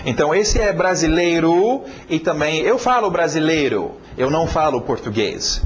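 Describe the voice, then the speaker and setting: Male teacher, American accent, slightly high and very expressive, drawn-out demos of rhythm. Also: highs cut off, crowd noise. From United States. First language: English. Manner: animated, stretches out words and chants rhythm syllables to demonstrate. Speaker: English teacher. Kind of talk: video lesson.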